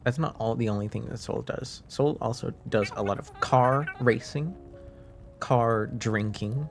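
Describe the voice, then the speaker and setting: A native French-speaking man hosting a relaxed livestream. His voice has a creaky, gravelly edge and sounds a little under the weather. He talks slowly and haltingly, trailing off often, with faint music playing behind him. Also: medium pitch. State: slightly under the weather, deeper than usual, clearing throat. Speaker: live streamer, host. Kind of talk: casual livestream chat with viewers.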